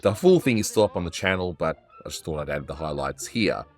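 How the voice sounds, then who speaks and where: Man, low voice, casual review host, analytical, chatty, faint background music. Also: lively intonation, brisk. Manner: chatty and analytical, frequent fillers and restarts. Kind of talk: casual cartoon review commentary.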